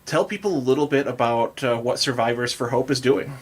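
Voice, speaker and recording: A man's voice: mid-range voice, a little fast. An American man hosting a podcast, chatting and interviewing in an informal, loosely phrased way. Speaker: podcast host. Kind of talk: casual podcast chat and interviewing.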